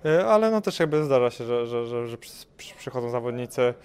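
A man's voice: mid-range voice, lively intonation, a little fast, darkish tone, smooth. A young man, a boxer, answering interview questions into a handheld mic at an indoor event, hesitant with many fillers and repeated words. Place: event press wall, indoors. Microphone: handheld mic held by interviewer.

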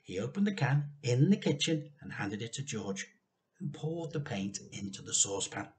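A man in his fifties reading a children's story aloud, animated and emphatic, drawing out key words. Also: medium pitch, moderate pace. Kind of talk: expressive children's storybook narration. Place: small living room indoors.

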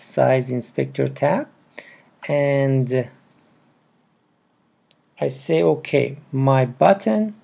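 A man with a non-native English accent narrates a casual step-by-step tutorial, with halting, broken phrasing. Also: mid-range voice, creaky, warm tone. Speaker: programming tutorial presenter. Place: indoors at a computer.

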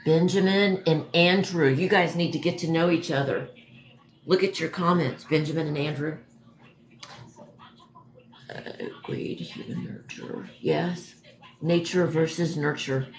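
A hoarse young woman chatting and rambling on a livestream from home, reading viewer comments. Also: sits low, measured pace, long pauses, reverberant, poor audio. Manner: chatty, rambling, self-correcting. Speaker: livestream host. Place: dim home room at night. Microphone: phone camera at arm's length.

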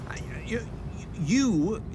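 An actor playing a haunted, bewildered miserly businessman in an audio drama, with halting, stammering speech. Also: mid-range voice, lively intonation, background noise. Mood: bewildered, awed. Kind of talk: modern audio drama acting.

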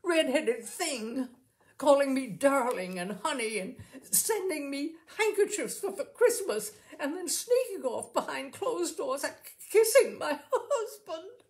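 A woman reading a story, voicing an upset young wife who is hurt and indignant, in a high, tearful, exclaiming way. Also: lively intonation, measured pace. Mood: distraught.

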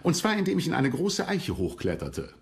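A man's voice: on the high side, huge pitch swings, moderate pace, vocal fry, exaggerated voice. An actor playing a regal, self-assured English king in a historical docudrama, speaking formally and theatrically. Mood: storytelling, self-satisfied. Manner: formal, stately, theatrical phrasing. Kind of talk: German historical docudrama acting.

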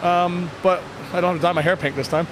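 A man in his thirties, a sports coach giving a candid, casual post-game interview in a plain-spoken, halting way with repeated words. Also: high-pitched, fairly even intonation. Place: indoor sports hall, blue block walls. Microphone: handheld interview microphone. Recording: noisy venue with background ambience.

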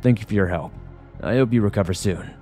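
A man voicing a stern middle-aged sheriff who calmly questions an accident victim. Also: lowish voice, soft background music, close to an everyday voice. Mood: calm, probing. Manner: measured, probing questions.

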